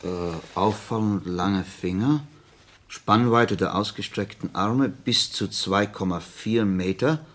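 A detective's attentive sidekick in a radio play. His voice is fairly low and rough-edged with huskiness. He sounds thoughtful and puzzled, halting as he works things out. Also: a bit bright. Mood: hesitant, questioning. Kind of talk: German radio play acting.

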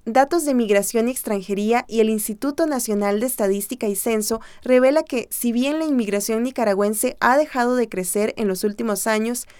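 Clear, smooth female radio news narrator. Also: a little high, a little fast, hardly any pauses, darkish tone, no echo, studio quality. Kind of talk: scripted narration.

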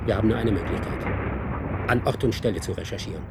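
A man's voice: on the low side, fast, heavy background noise, lightly acted. A man acting in a German radio play as an analytical investigator, speaking in an articulate, deliberating way. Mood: confident, analytical. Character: well-connected male investigator, brisk and analytical.

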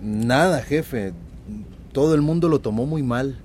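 A man's voice: mid-range voice, unhurried, darkish tone, slight background noise, natural voice. An actor playing a deferential corporate PR man in a radio drama. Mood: nervous, reluctant. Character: deferential corporate PR underling and event pitchman.

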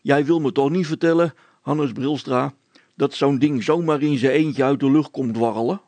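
A man's voice: medium pitch, moderate pace, a little mellow, dry recording, pitched above the performer's own voice, lightly acted. A man voicing a plodding, sceptical village policeman in a story, plain-spoken, mocking and grumbling.

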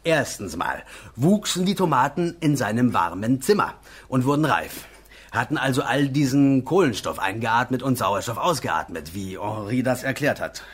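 An actor playing a simple, earthy old war veteran in a German radio play, rambling in a folksy, plain-spoken way. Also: male voice, medium pitch, big pitch swings, moderate pace, slightly rough. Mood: eager, defensive.

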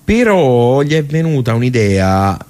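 An actor with a Tuscan Italian accent playing a forgetful, garrulous old village club regular in a radio theatre monologue, rambling, halting and repeating himself colloquially. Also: male voice, medium pitch, big pitch swings, darkish tone. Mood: confiding. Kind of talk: theatrical vernacular monologue told as casual bar storytelling.

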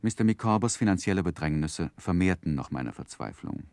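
Low voiced guy narrating his own boyhood, earnest, really varied intonation, old radio drama. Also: a little fast, dry recording. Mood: earnest, sorrowful. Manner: earnest, literary, expressive. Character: grown man recalling his miserable boyhood, earnest, reflective. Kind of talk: scripted first-person narration in a 1960s German radio play.

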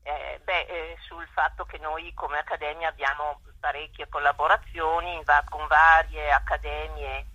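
A woman politely giving measured, explanatory interview answers as a guest over the telephone. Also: deep voice, flat delivery, sharp tone, dry recording. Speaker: guest, president of a cultural academy. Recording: dry, dull telephone-like line.

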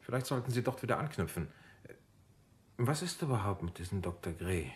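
Army doctor, a major, male actor: deep voice, measured and firm, matter-of-fact radio drama delivery. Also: lively intonation, lightly acted. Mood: calm, methodical.

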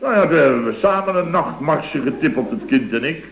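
An actor playing a self-important building-firm boss in an old radio comedy, blustery and given to repeating himself. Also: male voice, a little high, lively intonation, a little fast, gravelly. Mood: jovial, self-satisfied. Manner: blustery, repeats himself, tags phrases with interjections. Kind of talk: 1960s-70s Dutch radio comedy acting. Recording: dull, roomy old radio recording.

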